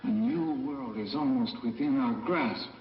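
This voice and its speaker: An actor playing an earnest, measured astronaut in a dubbed old science fiction film. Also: male voice, mid-range voice, music in the background, lightly acted. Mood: wondering. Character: earnest male astronaut on a Mars mission. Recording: echoey, muffled old film soundtrack.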